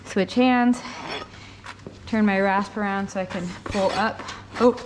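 Young woman talking over noisy rasping. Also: mid-range voice, fairly even intonation. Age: young adult. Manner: thinking aloud in short, halting phrases while working. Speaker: horse owner giving a hoof trimming tutorial. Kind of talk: casual hands-on demonstration talk. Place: indoor barn with concrete floor. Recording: clear rasping and clattering noise. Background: filing, scraping and clatter of tools.

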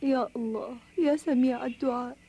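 A woman's voice: high voice, fairly quick, mellow, a little hiss or hum. A woman playing a tender, pious mother in a children's cartoon, prayerful and pleading. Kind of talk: dubbed children's cartoon acting.

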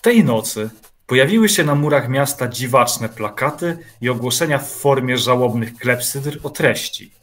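A man's voice: medium pitch, lively intonation, moderate pace, fairly bright tone. Man narrating a literary audio drama.

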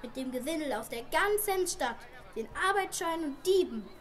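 A woman plays a wealthy merchant father in an amateur radio play. The voice is very high, proud and emphatic, declaiming like someone deeply aggrieved. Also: animated, normal speed. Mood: indignant.

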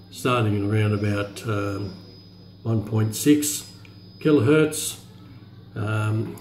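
A man reviews gear casually on a phone in a room at home. He speaks haltingly, working out his thoughts as he goes. The sound is noticeably roomy, with faint noise behind him. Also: mid-range voice, a little mellow. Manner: halting, hesitant, thinking aloud. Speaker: radio reviewer. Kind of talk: casual, unscripted product demonstration. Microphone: handheld phone camera.

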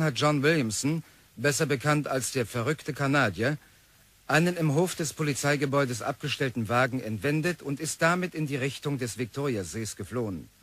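An actor voicing a formal newspaper report within a 1960s radio drama. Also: male voice, on the high side, a bit bright. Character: formal male newspaper voice, crisp.

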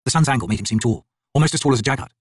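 A man reading a fantasy audiobook in a theatrical, solemn, expressive manner. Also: mid-range voice, rapid-fire, slightly rough, no room sound, studio quality. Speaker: audiobook narrator, actor. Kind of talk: dramatic scripted fantasy audiobook narration.

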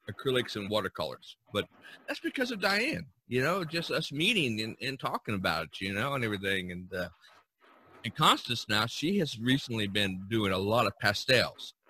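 Bright-voiced man hosting a chatty podcast. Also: mid-range voice, a little hiss or hum. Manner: rambling, chatty. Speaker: podcast host. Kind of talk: casual chat among artists.

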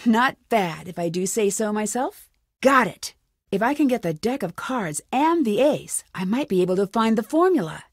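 Casting: plucky young female sleuth, video game lead, mid-range voice, quick-witted self-talk, very dry studio sound. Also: medium pitch, very animated, dry recording. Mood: focused, musing. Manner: clearly enunciated, thinking aloud. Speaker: game protagonist.

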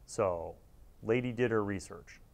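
A man in his forties with a slightly low voice hosts a casual review. He talks in an informal, wry, conversational way, leaning on words for emphasis. He is recorded on a lapel mic in a small studio. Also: measured pace, gravelly. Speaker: host reviewing old gaming magazines. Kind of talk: casual, informal commentary to camera.

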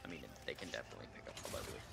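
A young man on a headset mic, casually commenting while he plays a game and jokingly mimicking its lines. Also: rapid-fire. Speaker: streamer. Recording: game music under voice. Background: game music.

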